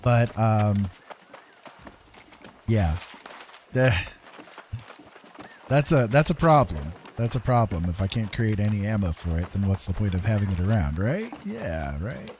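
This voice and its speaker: A man's voice: on the low side, sing-song, unhurried, pauses often, darkish tone. A man doing casual live gameplay commentary, musing and thinking aloud in a rambling, self-directed way. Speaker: game streamer. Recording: clear background game noise. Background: video game sounds.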